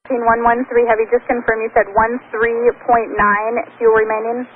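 A woman's voice: on the high side, monotone, a little fast, phone-quality sound. A woman working as an air traffic controller, issuing standard instructions over aviation radio.

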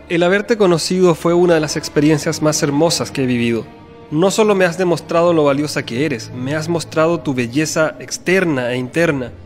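Man playing earnest suitor, slightly high voice. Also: lightly acted. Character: earnest, tender, conflicted male suitor. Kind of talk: radio soap acting.

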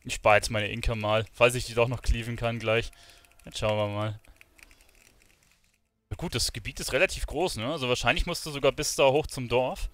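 A man's voice: medium pitch, moderate pace, fairly bright tone. A man streaming a video game, thinking aloud in informal commentary sprinkled with English gamer slang. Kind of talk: casual live gaming commentary.

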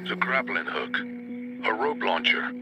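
An actor playing a weary, middle-aged washed-up drunk leader in a video game. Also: male voice, mid-range voice, huge pitch swings, normal speed, over loud music, rough recording. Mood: instructive. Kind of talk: dramatic video game acting.

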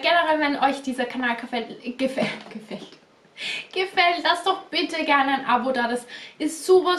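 High-voiced chatty young woman vlogger. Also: fairly bright tone, slight background noise. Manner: chatty, repeats words, many fillers. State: slightly stuffy from a cold. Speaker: beauty vlogger. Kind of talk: casual unboxing chat. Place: small bedroom studio indoors. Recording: roomy echo, a bit distant.

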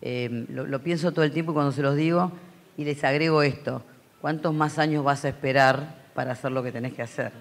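A man's voice: medium pitch, steady tone, a little fast. Argentine male panelist, reflective and anecdotal, handheld mic on a conference stage. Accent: Argentine Spanish. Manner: reflective, anecdotal, many fillers. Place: large conference hall stage.

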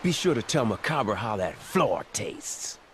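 A man's voice: on the low side, big pitch swings, a little slow, fairly bright tone, a little hiss or hum. A man playing a cool, tough action bodyguard with a terse, assured manner in a video game. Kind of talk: video game cutscene voice acting.

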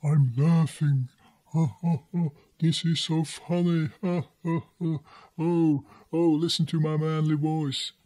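A man voicing a lazy, sullen young woman with a manly voice, talking slowly and mockingly in a comedy movie recap. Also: on the high side, level tone, mellow, dry recording. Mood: mocking laughter.

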